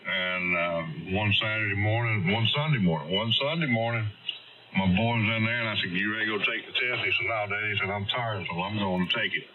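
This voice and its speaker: A man's voice: on the low side, a little fast, a loud crowd. A middle-aged or older man with a Southern US accent telling stories casually on ham radio in a plain-spoken, folksy way. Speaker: amateur radio operator. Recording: dull, noisy radio transmission.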